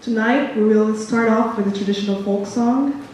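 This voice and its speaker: Mellow woman in a very echoey hall. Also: fairly high pitch, moderate pace. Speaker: student performer introducing a piece. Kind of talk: prepared concert introduction. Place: concert stage in a hall. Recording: very echoey hall, distant mic, faint noise.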